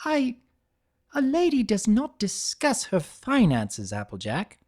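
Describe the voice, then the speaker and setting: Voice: mid-range voice, wildly swooping pitch, slow, a little mellow, pitched above the performer's own voice, heavily acted. A narrator voicing a prim, refined and proud unicorn lady with a formal, proper manner for a fan story reading. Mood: flustered, cross. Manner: proper, formal, easily flustered.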